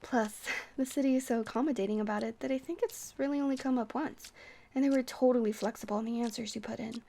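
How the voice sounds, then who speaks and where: A woman acting as a kindly vampire bartender with a fairly high voice. She talks in a gentle, chatty ASMR roleplay way, rambling whimsically as if to one companion. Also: fast, gravelly. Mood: chatty, sheepish. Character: friendly, rambling young-looking vampire bartender woman, centuries old.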